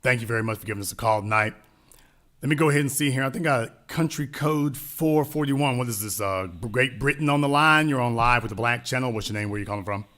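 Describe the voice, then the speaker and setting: Blunt, bright-voiced male call-in host. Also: medium pitch, fast talker. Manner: blunt, emphatic, slangy. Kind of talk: live call-in radio talk.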